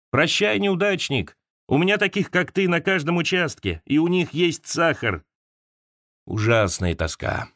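A man reads a personal essay as an audiobook narrator. His mid-pitched voice moves widely up and down, with dramatic pauses and shifts between wry and wistful. The recording is close and dry, as in a studio. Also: fairly bright tone, dry recording. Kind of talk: expressive literary essay narration.

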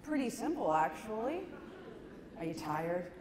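A middle-aged woman giving a stand-up comedy talk to an audience, emphatic and conversational, with dramatic pauses. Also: mid-range voice, sing-song, crowd noise. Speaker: comedian, keynote speaker. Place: large conference ballroom stage. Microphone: handheld microphone. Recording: echoey hall PA, some noise.

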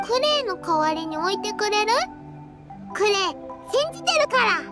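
Super high little anime girl, sweet n earnest, slow simple kiddie talk, music behind. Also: big pitch swings. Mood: eager, trusting. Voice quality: high, childlike. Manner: refers to herself by name, simple childish phrasing. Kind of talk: Japanese anime game voice acting.

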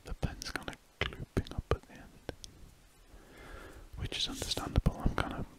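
A British man speaking in a breathy whisper, rambling casually and gently as he draws for ASMR, with hesitant musing. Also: normal speed. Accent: British English. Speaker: ASMR artist. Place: small warm room at a desk, window shut. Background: pen scratching on paper.

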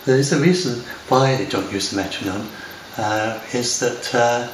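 A man's voice: mid-range voice, normal speed. An older man with an English accent, talking to camera at home in a hesitant, rambling way, full of fillers and restarts. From lives in Scotland. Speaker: software developer talking to camera. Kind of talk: unscripted talk to camera. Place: home room by large windows. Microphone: far camera. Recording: roomy, distant, noisy home recording.

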